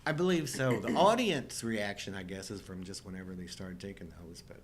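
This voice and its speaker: A man's voice: fairly low pitch, fairly quick. A man of about fifty co-hosting a casual film chat, joking and poking fun at himself. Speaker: co-host of a live show.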